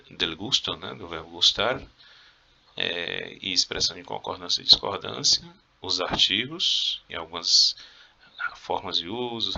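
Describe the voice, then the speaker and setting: Low gravelly Brazilian male teacher. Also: pushed and bright. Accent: Brazilian Portuguese. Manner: casual joking teacher talk to his students. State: coughing. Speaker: Spanish teacher. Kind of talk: recorded review lesson.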